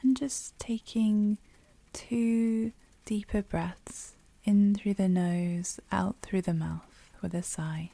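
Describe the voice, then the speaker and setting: A woman guides a meditation in a very dark, velvety voice, soft and breathy to the edge of a whisper. Her delivery is slow and soothing, with gentle pauses between phrases. The sound is close and dry. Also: mid-range voice, fairly even intonation, dry recording. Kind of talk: guided yoga nidra meditation.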